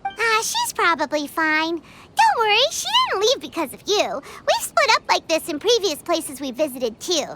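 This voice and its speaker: A woman voicing a small, excitable fairy companion in a video game, with a squeaky childlike voice and chatty delivery. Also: normal speed, background noise, heavily acted. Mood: reassuring, curious. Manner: chatty, exclamatory, refers to herself by name. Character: small excitable chirpy fairy companion, talks in third person. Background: game music.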